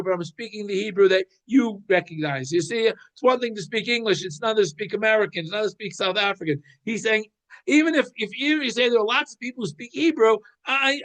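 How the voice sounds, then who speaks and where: A man giving an informal religious lesson, explaining scripture like an animated storyteller who thinks aloud and repeats phrases. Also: sits high, a little fast. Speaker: Torah teacher.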